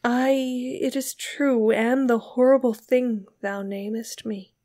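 Tender, anguished young woman, high and plaintive , acted by a narrator. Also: fairly even intonation, slow pace, dark tone. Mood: grieving. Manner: plaintive, pleading, archaic phrasing. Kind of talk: dramatic literary audiobook reading.

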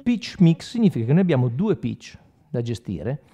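A man presents a guitar effects tutorial. His voice is mellow and his pitch moves in a lively way. He explains things conversationally with frequent check-in tags, with guitar music around his speech. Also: normal speed. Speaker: guitarist demonstrating effects pedals. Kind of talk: informal product tutorial. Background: electric guitar playing.

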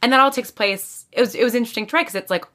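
A woman's voice: on the high side, rapid-fire, bright, forceful tone. A woman, a writer guesting on a podcast, chatting casually in informal, loosely phrased sentences full of "like". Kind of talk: casual chat.

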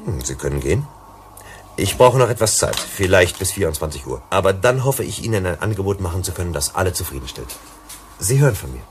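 A low-pitched, thoughtful man, an analyst in a science fiction radio play. He talks in a measured, reserved way over clear background noise. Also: very animated. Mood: calm, businesslike. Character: calm, pensive male analyst investigating a labor dispute. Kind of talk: German radio play acting.

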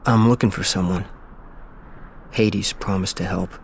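A man playing an aloof, weary young swordsman in a video game, speaking quietly and tersely with guarded understatement. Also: deep voice, fairly even intonation, measured pace, slight background noise. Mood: brooding regret. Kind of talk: dramatic video game character acting.

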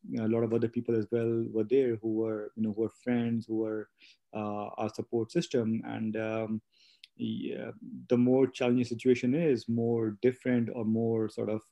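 A man in his late thirties from India chats in a very dark, mellow voice. He rambles, restarts often and fills pauses as he tells his story. The sound is slightly dull and lo-fi. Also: mid-range voice. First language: not English. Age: late 30s. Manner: rambling, many restarts and fillers, laughs easily. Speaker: guest, spa business owner. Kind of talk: casual interview conversation.

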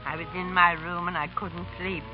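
A woman's voice: fairly low pitch, little pitch movement, moderate pace, natural voice. An actress playing a tender, flustered mother in a 1930s radio drama, speaking hesitantly. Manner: hesitant, faltering. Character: flustered, tender mother posing as a society lady. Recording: muffled 1930s radio recording with music.

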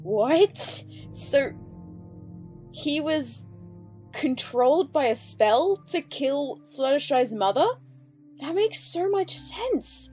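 A woman with a fairly high voice gives casual reaction commentary. She talks as the host of her own video, with music playing behind her. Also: big pitch swings, takes it slowly, darkish tone. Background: background music.

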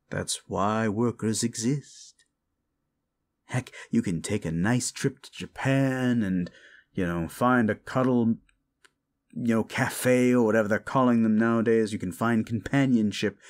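A man playing a sardonic, hungry demon in an audio roleplay, talking to the listener in a condescending, theatrical, mocking way with muttered asides. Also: medium pitch.

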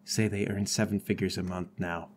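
A man playing a grumpy, contrary, complaining cop in a deadpan, curmudgeonly way during improvised comedic roleplay. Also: low voice, moderate pace, slight background noise, lightly acted.

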